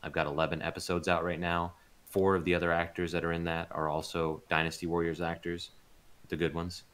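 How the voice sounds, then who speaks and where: Guy with a super deep low voice, chatting casually in an interview, loose and informal. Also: monotone, a little fast, fairly bright tone. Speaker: interview guest, voice actor and audio drama producer.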